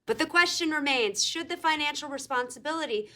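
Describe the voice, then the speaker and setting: A woman's voice: high-pitched, expressive, fairly quick, sharp tone. A woman playing a poised, pointed TV news anchor delivering a scripted newscast.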